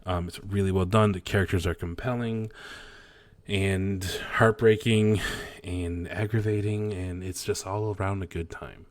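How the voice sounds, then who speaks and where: Low-voiced man chatting on a podcast. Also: a little slow, no echo. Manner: excitable, chatty with fillers. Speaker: podcast co-host. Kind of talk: casual chat.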